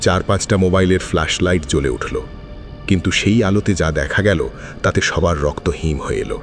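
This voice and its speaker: A man's voice: low-pitched, brisk, soft background music. A man narrating a suspense thriller in a dramatic, scripted storytelling style.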